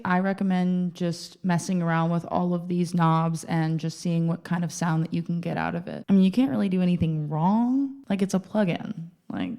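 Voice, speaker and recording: A woman's voice: on the low side, mellow. A woman hosting a casual tutorial, talking in an informal, chatty way with playful asides. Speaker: video host and music gear reviewer.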